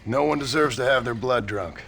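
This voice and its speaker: A man's voice: mid-range voice, moderate pace, creaky, a little hiss or hum. A man playing a decent, weary gunslinger with an American Western accent, dry and polite in a video game scene. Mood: grave, sympathetic. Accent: American West. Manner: wry, polite, deadpan. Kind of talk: dramatic video game cutscene acting.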